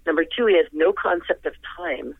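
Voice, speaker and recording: A woman's voice: lowish voice, lively intonation, fairly quick, vocal fry, husky, no room sound. An older woman, a singer, chatting as a guest in an interview, talking effusively and rambling with frequent laughs, heard over the phone. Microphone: telephone. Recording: tinny phone line, dry.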